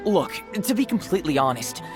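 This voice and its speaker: An actor playing a blunt, reluctant skeptic in a video game, dry, sarcastic and lecturing. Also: male voice, high voice, heavily acted. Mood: exasperated. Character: exasperated, skeptical male friend, blunt and reluctant. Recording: game music behind the voice.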